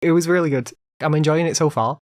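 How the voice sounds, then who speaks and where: A man's voice: a little high, quick pace, no room sound, clean studio sound. A man hosting a casual solo podcast, rambling conversationally with lots of fillers, still recovering from an illness. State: recovering from illness, voice occasionally cutting out. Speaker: podcast host.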